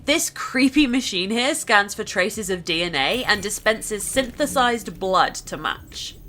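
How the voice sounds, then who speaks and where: A woman's voice: medium pitch, animated, measured pace, bright, forceful tone, faint music behind. A woman giving scripted narration over gameplay in a chirpy, guiding way.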